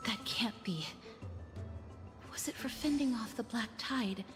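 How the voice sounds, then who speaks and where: A woman playing a gentle, earnest young woman in video game dialogue, cautious and measured as she explains. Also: a little high, close to an everyday voice. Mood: thoughtful, concerned. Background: game music.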